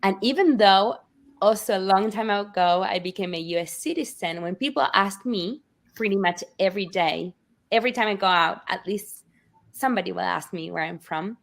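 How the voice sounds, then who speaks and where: A woman from Argentina, telling the story of her book as an interview guest with animated, earnest warmth. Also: medium pitch, moderate pace, vocal fry. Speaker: author guest. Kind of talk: conversational interview.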